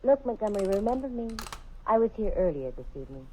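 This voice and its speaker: An actress playing a confident, wisecracking female private detective in an old radio drama, brisk and sure of herself. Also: medium pitch, very animated, mellow, a little hiss or hum, natural voice. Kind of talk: 1950s radio drama acting. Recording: dull, muffled old radio recording.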